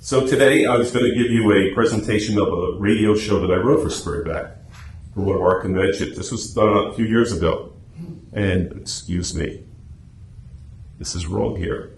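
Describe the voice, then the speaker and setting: Guy with a lowish voice giving a chatty club talk, roomy echo, bit of noise. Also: normal speed. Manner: chatty, anecdotal. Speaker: club presenter and radio script writer. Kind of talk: informal presentation to a club meeting. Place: meeting room, speaking to a small audience. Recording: echoey room with faint noise.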